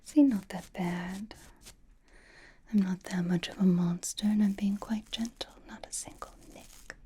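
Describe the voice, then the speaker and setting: Woman whispering as a slow, breathy, flirtatious vampire. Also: medium pitch, steady tone, dark tone. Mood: tender, flirtatious. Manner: slow, soothing, coy teasing. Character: flirtatious, teasing, possessive reformed vampire grooming a guest. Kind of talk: ASMR roleplay. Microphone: close microphone.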